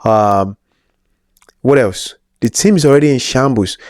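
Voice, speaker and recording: A man's voice: medium pitch, unhurried, a little mellow, dry recording, studio quality. A man with a Nigerian accent co-hosting a casual football chat show, opinionated with rambling asides. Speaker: co-host.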